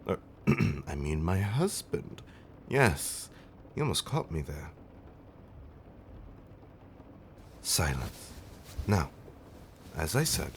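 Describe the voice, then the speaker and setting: Guy doing a low voiced old vampire husband, doting and a bit fussy, comfort roleplay, noisy ambience behind him. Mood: fond, mock-stern. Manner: old-fashioned, genteel wording with dramatic pauses. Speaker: audio roleplay performer. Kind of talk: intimate comfort roleplay, ASMR-like storytelling.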